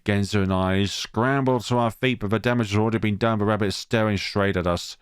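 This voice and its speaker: A man reading a visual novel aloud as dramatic narration, expressive and reacting as he goes. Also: lowish voice, fairly quick, clear, resonant tone, no room sound, studio quality. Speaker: let's player reading a game aloud. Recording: music behind the voice. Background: game music.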